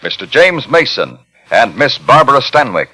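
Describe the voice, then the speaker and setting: An American man announcing a 1950s radio suspense show in a scripted, dramatic, deliberate manner. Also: medium pitch, very animated, pushed and bright, slightly rough, low-quality recording. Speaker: programme announcer. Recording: vintage 1950s radio broadcast, music behind.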